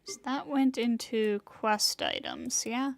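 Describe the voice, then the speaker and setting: High-voiced woman streaming a video game. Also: huge pitch swings, slow, vocal fry, slight background noise. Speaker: game livestreamer. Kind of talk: casual gameplay commentary, reading game text aloud. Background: video game music.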